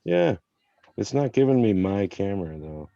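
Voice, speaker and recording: A man with a very low voice takes his turn as a player in a tabletop roleplaying game. He talks casually over an online call, and the audio is somewhat dull and lo-fi. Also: very animated, moderate pace, gravelly, warm tone. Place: indoors on an online group call. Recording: muffled, boxy online call with room echo.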